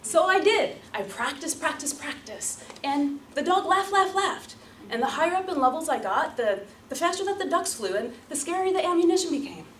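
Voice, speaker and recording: A woman delivering a rehearsed storytelling speech as a public speaker, theatrical and emphatic, with deliberate repetition and pauses. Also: sits high, lively intonation. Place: room before an audience. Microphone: distant microphone. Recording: roomy, distant mic, light background noise.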